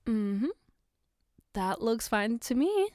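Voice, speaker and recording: A woman playing an affectionate, gently teasing girlfriend in a soft-spoken ASMR roleplay, tender and reassuring. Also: on the high side, very animated, no room sound, clean studio sound. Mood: gentle, attentive. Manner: tender, reassuring, playfully coaxing, unhurried. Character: affectionate, gently teasing girlfriend doing her partner's makeup.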